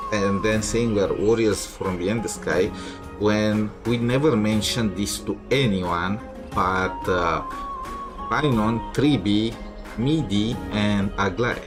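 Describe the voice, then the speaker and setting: A man commenting casually on a game as he plays, with wry, skeptical asides. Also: on the low side. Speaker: streamer playing a game.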